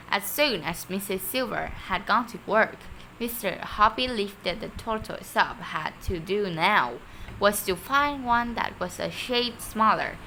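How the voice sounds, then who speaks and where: A woman's voice: medium pitch, gravelly, bright, forceful tone, slight background noise, poor audio. A woman reading a children's story aloud expressively, sometimes stumbling over her words. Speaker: storybook reader.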